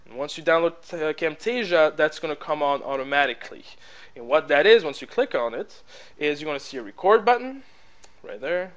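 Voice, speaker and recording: A man's voice: a little high, expressive, brisk, fairly bright tone, muffled recording. Rambling man with a French accent. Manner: rambling, filler-heavy, repeats "blah, blah, blah". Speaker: tutorial presenter. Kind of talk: casual screen-recording tutorial. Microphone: plug-in headset mic.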